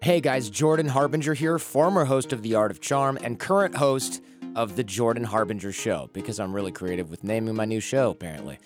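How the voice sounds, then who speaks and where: A man's voice: mid-range voice, brisk, over music. A man reading a podcast promo with dry, self-mocking humor. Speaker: guest promo voice.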